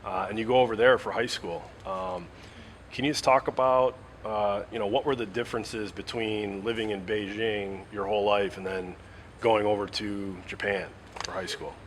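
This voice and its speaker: A man with a fairly low voice leads the interview, setting up each question with a long recap full of "uh" and "you know." Faint noise sits behind him. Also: fairly even intonation, normal speed. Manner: long wordy questions with fillers.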